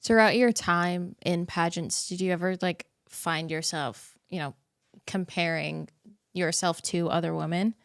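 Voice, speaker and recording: A woman's voice: lowish voice, steady tone, measured pace, gravelly, no room sound, studio quality. A woman co-hosting a podcast, asking questions in casual chat and reacting eagerly with short exclamations. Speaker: podcast co-host.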